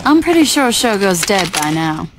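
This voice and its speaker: A woman's voice: mid-range voice, sing-song, moderate pace, natural voice. A woman playing a no-nonsense gang boss, blunt and commanding, in a video game cutscene. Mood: wary. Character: tough, no-nonsense young female gang boss. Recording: echoing hall, faint noise.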